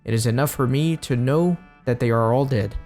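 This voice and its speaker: A man reading aloud a sorrowful, polite dwarf's dialogue from a fantasy game in formal, gentle phrases. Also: mid-range voice, a little fast, faint music behind, lightly acted. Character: sorrowful, polite male dwarf dreading grim news.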